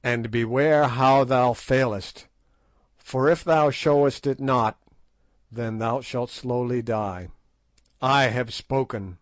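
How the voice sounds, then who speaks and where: A man's voice: medium pitch, takes it slowly, no echo, natural voice. A man voicing a stern king who speaks in solemn, commanding tones. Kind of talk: audiobook character voice.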